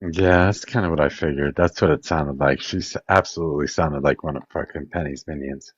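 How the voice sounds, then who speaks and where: A man's voice: extremely low pitch, fairly quick, breathy edge, no echo, lo-fi sound. A man hosting a heated live debate panel, blunt and profane, brushing off opponents.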